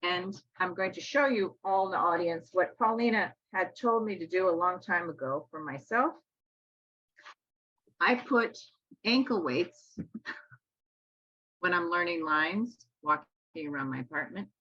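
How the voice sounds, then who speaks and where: A woman co-hosting a casual discussion, talking in an animated way. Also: fairly low pitch, slow, no room sound. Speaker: co-host. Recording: dull, dry, lo-fi sound.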